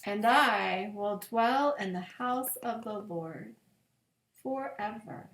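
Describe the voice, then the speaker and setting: A woman pastor reading scripture aloud as a children's bedtime story, in measured, deliberate phrases with long pauses. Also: medium pitch, gravelly, fairly bright tone, slight background noise. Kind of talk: gentle read-aloud of scripture picture books. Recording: echoey room.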